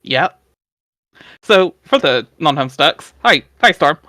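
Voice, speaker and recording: Livestream host for a dramatic reading, medium pitch, informal, recorded close and dry. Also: animated, slow, bright, forceful tone, dry recording. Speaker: stream host and reader. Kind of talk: dramatic reading mixed with casual livestream chat.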